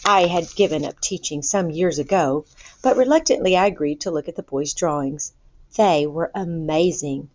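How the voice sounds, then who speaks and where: A woman reading a children's story aloud in an expressive, deliberate storytelling manner. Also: deep voice. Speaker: storybook reader. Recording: boxy roomy sound, dull lo-fi.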